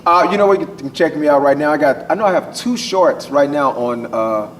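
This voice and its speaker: A man, an actor answering casually in an interview, halting and restarting phrases. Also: a little high, expressive. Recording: distant mic, faint noise.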